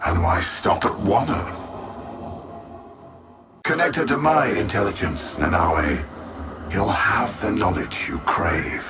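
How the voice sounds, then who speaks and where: An actor playing an arrogant, cold alien supervillain in a video game, haughty and theatrical, savoring every word. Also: male voice, low-pitched, lively intonation, slow pace, long pauses, music in the background. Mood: grandiose, seductive.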